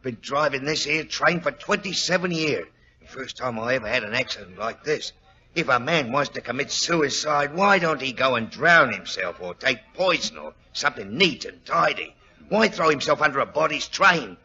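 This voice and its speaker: A man's voice: medium pitch, animated, normal speed, sharp tone. A man playing an aggrieved veteran train driver in a 1940s radio drama, complaining emphatically. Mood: aggrieved, defensive. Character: aggrieved, defensive, plain-spoken veteran English train driver. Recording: dull vintage radio recording.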